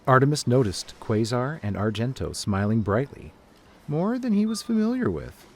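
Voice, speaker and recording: A man's voice: medium pitch, very animated, moderate pace, slight background noise. A man reading scripted story narration as a narrator, with music behind him.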